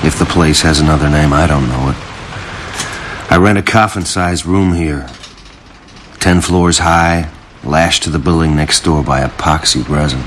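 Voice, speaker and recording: A man's voice: extremely low pitch, moderate pace, a bit bright, a little hiss or hum. An actor playing a bleak, down-and-out man narrating his inner thoughts in terse fragments for a radio drama. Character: bleak, brooding male down-and-out narrator, inner monologue. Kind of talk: scripted first-person drama narration.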